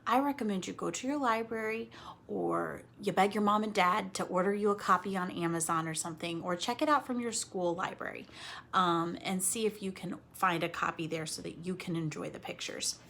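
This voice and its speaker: A woman with a slightly husky voice leading a read-aloud lesson for children, explaining things simply in an expressive, coaxing way and speaking to them directly. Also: fairly low pitch, fairly quick, slight background noise. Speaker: teacher reading aloud to children.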